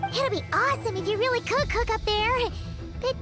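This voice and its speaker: Squeaky, very high little fairy girl. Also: a little fast. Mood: curious. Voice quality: squeaky, childlike. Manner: chatty, exclamatory, refers to self in third person. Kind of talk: English video game character acting. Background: background music.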